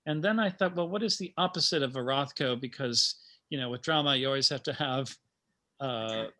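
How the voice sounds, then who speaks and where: A man speaking informally as a guest playwright about his creative process, in a halting, thoughtful manner. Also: medium pitch, bright, forceful tone. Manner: halting, thoughtful, chuckling asides.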